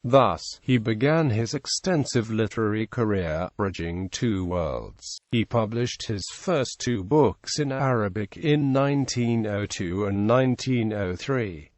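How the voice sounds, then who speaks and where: A man's voice: fairly low pitch, expressive, measured pace, few pauses, a little mellow, no echo. Man reading an article in a dry voice. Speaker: narrator. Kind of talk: encyclopedia article read aloud. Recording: dry, no room sound.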